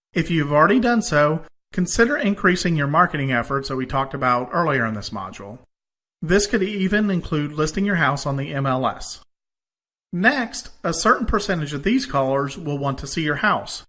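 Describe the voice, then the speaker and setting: Bright, higher-voiced man giving scripted instruction. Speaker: instructor in a home-selling course.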